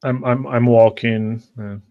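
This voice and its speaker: A man's voice: mid-range voice, steady tone, a little slow. A man who is a tabletop game player, hesitantly describing his character's actions through a dull microphone.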